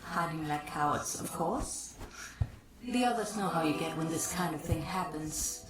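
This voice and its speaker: A woman's voice: deep voice, unhurried, music in the background. An actress playing a sly, sardonic female ghost who taunts and needles, with an echoing effect. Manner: taunting, wry.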